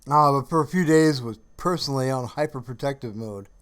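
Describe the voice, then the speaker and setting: A man chatting casually on a livestream in a halting, rambling way, often searching for words. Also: mid-range voice, a bit bright, smooth. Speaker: live streamer.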